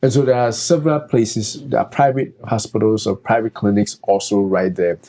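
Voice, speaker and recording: A man's voice: on the low side, fairly even intonation, normal speed, warm tone, low-quality recording. A man, a doctor, giving casual practical advice in a conversational way, with frequent fillers and restarts. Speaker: doctor and co-host.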